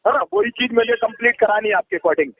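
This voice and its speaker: A man making a phone sales pitch, insistent, repetitive and evasive. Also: animated, quick pace, slightly rough. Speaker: advisory company agent on a sales call. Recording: tinny, echoey phone call recording.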